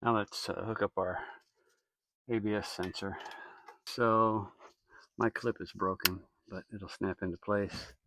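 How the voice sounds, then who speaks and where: A man's voice: on the low side, steady tone, measured pace, pauses often. A man giving a casual, plainspoken how-to while he works with his hands, with noise and clicking behind him. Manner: plainspoken, folksy, talks while working. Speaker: DIY mechanic narrating a repair. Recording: clear background noise, clicks. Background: clicks and noise of repair work.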